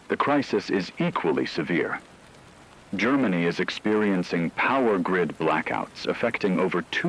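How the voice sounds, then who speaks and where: A man voicing a grave radio news anchor, reading a scripted emergency broadcast in a formal, measured way. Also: deep voice, breathy edge, heavily acted, poor audio. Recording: radio-style broadcast with faint hiss, rain-like noise.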